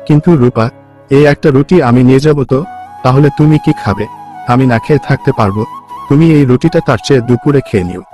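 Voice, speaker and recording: A man's voice: medium pitch, brisk, a little mellow, soft background music, close to an everyday voice. A man playing a poor, kind village labourer and husband in a cartoon folk tale, with an earnest manner. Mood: reassuring.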